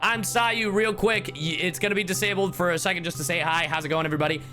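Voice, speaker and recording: A man hosts a live game stream in a medium-pitched voice. He talks casually to viewers in loose slang with the odd swear word, and music plays behind him. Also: steady tone, quick pace, pushed and bright. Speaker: streamer and voice actor.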